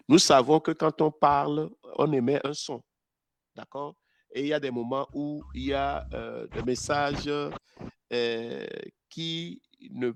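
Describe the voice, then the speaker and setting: A man's voice: medium pitch, no echo. A man, a pastor, giving a teaching sermon, addressing listeners directly, with many hesitations and repeated words.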